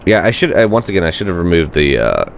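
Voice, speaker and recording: A man's voice: deep voice, sing-song, fast talker, slight background noise. A man with a cold and a congested, nasal voice, thinking aloud over a game he is playing. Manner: thinking aloud, rambling with fillers. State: stuffed up with a cold. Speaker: gaming channel host. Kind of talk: let's play commentary.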